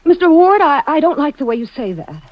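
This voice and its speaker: Anxious, defensive wife in radio suspense; high-pitched, on a muffled vintage recording with music. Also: female voice, sing-song, fairly quick, dry recording. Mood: defensive, agitated. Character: anxious, defensive wife who narrates her suspicions. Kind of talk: old-time radio suspense acting.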